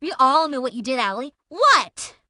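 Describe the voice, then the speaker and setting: A woman's voice: high voice, sing-song, normal speed, pushed and bright, no echo, clean studio sound, exaggerated voice. A woman playing a belligerent, self-important woman in a feud, taunting and accusatory, as a theatrical dramatic reading. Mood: indignant. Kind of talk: dramatic reading of a text exchange.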